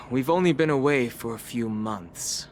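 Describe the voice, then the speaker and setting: A man voicing a calm, thoughtful young man in a game, speaking softly and evenly. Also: medium pitch, noisy background, natural voice. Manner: quiet, measured, soft-spoken. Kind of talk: game voice acting. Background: game soundtrack music.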